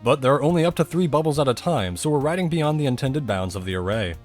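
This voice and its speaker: Male explainer narrator, mid-pitched with very varied intonation, scripted but conversational, faint music underneath. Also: animated, fast, a little mellow. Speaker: video creator narrating a technical explainer.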